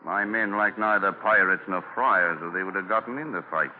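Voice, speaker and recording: An actor in a 1940s radio drama playing a gruff, hearty sea captain with salty seafaring talk. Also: male voice, fairly low pitch, fairly quick, natural voice. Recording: tinny, hissy 1940s radio broadcast.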